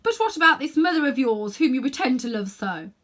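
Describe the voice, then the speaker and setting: A woman's voice: high-pitched, lively intonation, brisk, a bit bright, pitched above the performer's own voice. A woman voicing a fierce, scornful witch who coaxes and tempts insistently in a children's storybook. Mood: urgent coaxing. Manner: imperious, insistent persuasion.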